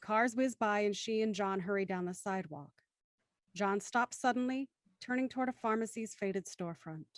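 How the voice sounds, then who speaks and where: Female narrator, medium pitch, dry studio-like sound, scripted stage-direction storytelling, audio drama. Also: moderate pace, no echo.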